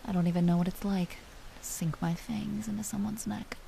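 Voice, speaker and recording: A woman playing an aristocratic vampire duchess in a roleplay audio drama, commanding and teasing yet secretly tender, often hushed and confiding. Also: medium pitch, fairly even intonation, a little fast, gravelly, slight background noise, rough recording. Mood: confiding, guarded.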